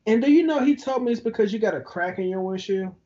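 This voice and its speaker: A man, telling an animated story in a casual podcast chat. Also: fast talker, highs cut off. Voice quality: high-pitched voice. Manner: animated storyteller who laughs easily.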